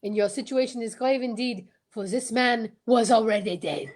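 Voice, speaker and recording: A woman playing a pompous, courteous male detective, formal and deliberate, in old-time radio mystery style. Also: a little high, a little mellow, close to an everyday voice. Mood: grave, accusing. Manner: formal, deliberate, theatrical questioning.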